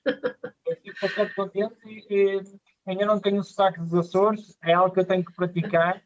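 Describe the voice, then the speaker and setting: A man's voice: high voice, level tone, fairly quick, no echo. A man, a pharmacist guest on a video call, giving brief, polite replies in casual conversation. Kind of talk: casual interview chat. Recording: dry, muffled video-call audio.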